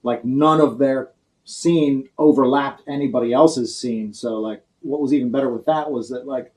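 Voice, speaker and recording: A man from the Pittsburgh area with an American accent chats as an interview guest in a band. He has a middle-pitched voice and a wry, informal way of talking. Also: fairly even intonation, moderate pace, a little mellow, low-quality recording. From Pittsburgh area, United States. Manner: wry, joking. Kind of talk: casual chat.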